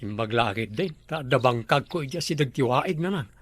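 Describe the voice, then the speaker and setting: A man's voice: mid-range voice, lively intonation, brisk, fairly bright tone, no echo. A young man playing a village suitor with an easygoing, joking way of talking.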